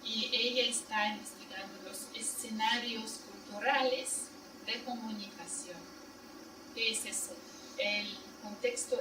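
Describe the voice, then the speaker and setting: A woman giving a university seminar lecture in Spanish as a second language, explaining in plain steps and checking her listeners with short questions. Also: medium pitch. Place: seminar room. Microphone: distant room mic. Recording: echoey room, far mic, loud noise.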